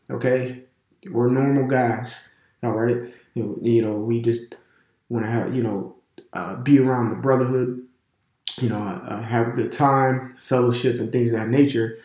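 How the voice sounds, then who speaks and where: A man teaches a casual video lesson with a cold-stuffed nose. He rambles loosely, full of fillers and restarts, at an ordinary middle pitch. His voice rings around a roomy, echoing space. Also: a little mellow. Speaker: religious language teacher. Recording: echoey room.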